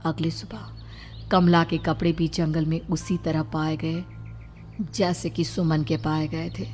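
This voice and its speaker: A woman's voice: sits low, flat delivery, measured pace, a little mellow, big-room sound, soft background music. A woman delivering dramatic scripted narration as a storyteller.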